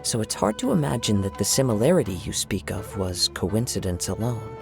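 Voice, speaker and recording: A man playing a calm, courteous young wandering swordsman in a video game, gentle and reflective in formal wording. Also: fairly low pitch, a little fast, vocal fry, over music. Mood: thoughtful, puzzled.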